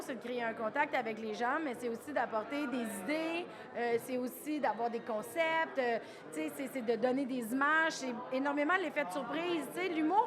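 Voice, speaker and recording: A woman with a Quebec French accent, a comedian answering interview questions in a candid, earnest way with casual slang. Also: sits high. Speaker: comedian guest.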